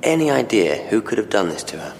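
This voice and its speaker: An actor playing a polite, persistent police investigator who questions in a restrained way, in an audio drama. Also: male voice, fairly low pitch, very animated, fast talker, vocal fry. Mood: probing. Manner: probing, restrained. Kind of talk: full-cast audio drama acting. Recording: reverberant hall with faint background noise.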